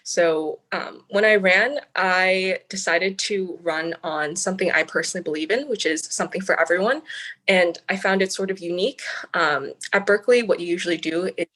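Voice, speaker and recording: A woman's voice: fairly low pitch, normal speed, fairly bright tone. A young woman from the San Francisco Bay Area, a student senator, giving earnest, articulate interview answers with frequent "um" fillers. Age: young adult.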